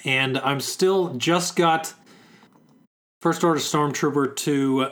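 Slightly hoarse laid-back podcast guy. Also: a little high, takes it slowly, a bit bright. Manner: joking, laid-back, dad jokes. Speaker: podcast co-host. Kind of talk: casual chat.

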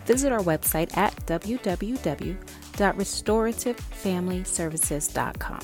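A woman reading sponsor messages for a podcast in a smooth, even way. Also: lowish voice, a little slow, soft background music. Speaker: sponsor announcer. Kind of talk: read sponsor ad.

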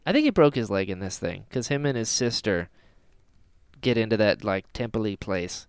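A man with a smooth voice and very lively, swinging intonation co-hosts a casual fan discussion. He is jokey and likes to act out lines as he talks. The sound is dry and close. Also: fairly low pitch, moderate pace, no echo. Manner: animated, jokey, acts out imagined quotes. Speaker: podcast co-host.

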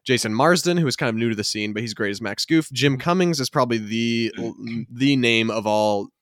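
A young man in his twenties chats casually as a podcast co-host, talking fast with plenty of "like" and "um." His voice sits in a middle pitch. It is recorded close and dry, with no room sound. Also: lively intonation, fairly bright tone, dry recording. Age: 20s. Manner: quick, chatty, frequent fillers. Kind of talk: casual chat.

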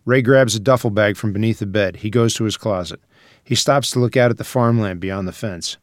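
A man's voice: lowish voice, brisk, no echo, clean studio sound. A man reading out screenplay scene headings and stage directions as a scripted narrator.

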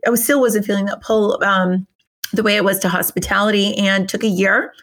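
A woman in her forties from New Hampshire, guesting on a podcast with a voice of middling pitch. She tells her story in a chatty, animated, self-deprecating way. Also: fairly quick. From New Hampshire, USA. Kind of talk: casual interview storytelling.

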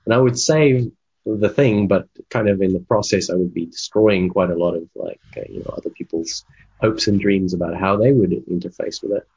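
Deep husky guy, first language afrikaans, rambles through his story with lots of ums, dull lo-fi sound. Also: moderate pace, a little mellow. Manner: rambling storyteller with many fillers. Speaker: guest, game development manager and coach. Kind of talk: casual interview, personal story.

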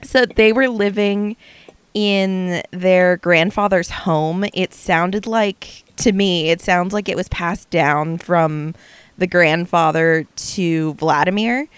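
A woman's voice: on the low side, slow pace, smooth, dry recording. A young adult woman co-hosting a casual true-crime podcast, recounting a case with chatty asides. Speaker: podcast co-host.

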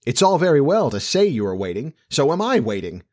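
A man's voice: mid-range voice, big pitch swings, brisk, darkish tone, voice pushed up, lightly acted. A man playing a proud, childish, middle-aged father in a storybook, pompous, indignant and self-pitying.

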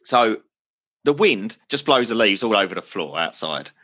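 A man in his thirties rants in exasperation on a podcast, swearing freely. His voice is bright and forward with a husky edge. His pitch leaps up and down as he complains. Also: big pitch swings, moderate pace. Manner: exasperated ranting, swears often. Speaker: podcast co-host. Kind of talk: casual banter.

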